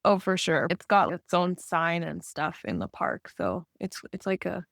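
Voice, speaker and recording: A woman's voice: lowish voice, big pitch swings, unhurried, vocal fry, no room sound, studio quality. A woman in casual conversation, speaking in a chatty, informal way with lots of "like" in her sentences. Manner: chatty, informal, frequent use of "like". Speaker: podcast co-host or guest.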